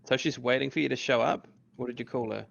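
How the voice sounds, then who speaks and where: A man's voice: medium pitch, fairly even intonation, fast talker, gravelly, fairly bright tone, a little hiss or hum. A man reading the part of an awkward young man in an amateur podcast table read, earnest and rambling, on a dull lo-fi mic.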